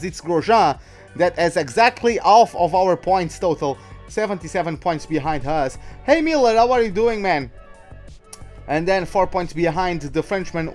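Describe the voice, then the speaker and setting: A man giving casual, chatty commentary as he streams a racing game live. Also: high voice. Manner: chatty, greets viewers by name mid-commentary. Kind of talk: casual livestream gaming commentary.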